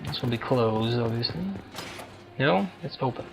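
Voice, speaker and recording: A man doing casual let's-play commentary on a roleplaying video game, musing and haltingly thinking aloud. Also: medium pitch, gravelly. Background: video game music.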